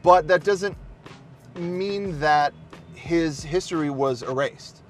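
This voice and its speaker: A man's voice: fairly high pitch, slow pace, soft background music. A man talking casually as a guest film reviewer, unscripted and emphatic, often repeating his phrases. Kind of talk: casual, unscripted movie discussion.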